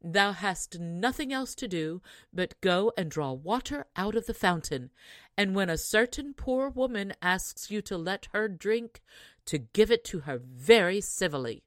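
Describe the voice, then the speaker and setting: A woman voicing a loud, scolding mother of two daughters in an archaic fairy tale, exclaiming sharply. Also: medium pitch, expressive, measured pace, no echo, studio quality, close to an everyday voice.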